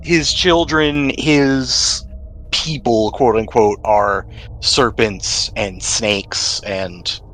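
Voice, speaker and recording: A man's voice: medium pitch, fairly even intonation, drawn-out words, fairly bright tone, low-quality recording. A man acting as game master, describing scenes and rules in a descriptive, conversational storytelling way. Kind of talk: narrating a tabletop role-playing game. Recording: noticeable background noise.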